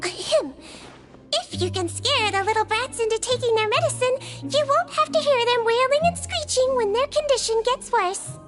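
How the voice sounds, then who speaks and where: A woman playing a small, gentle and earnest girlish nurse in a fantasy video game. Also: very high-pitched, expressive, moderate pace. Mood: earnest, chatty. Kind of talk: scripted video game character dialogue. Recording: game music behind the voice.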